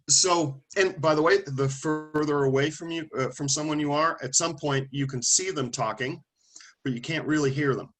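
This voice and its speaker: A man's voice: on the high side, moderate pace, a bit bright. A man lecturing a classroom of students, calling on them by name and thinking aloud as he explains. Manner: conversational, thinking aloud with restarts and asides.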